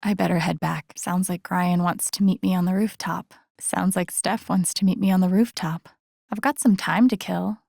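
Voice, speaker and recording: A woman playing an introspective, gently wry young woman in a video game, musing to herself in a naturalistic, low-key way. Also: steady tone, normal speed, vocal fry, slightly rough, dry recording, studio quality. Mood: musing, casual. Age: young adult. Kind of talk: naturalistic game voice acting, inner-monologue remarks.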